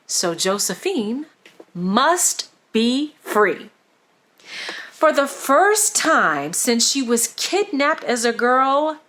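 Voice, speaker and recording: Expressive woman reading a story aloud, lively pitch. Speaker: storybook reader for children. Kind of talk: scripted read-aloud narration of a saint's life.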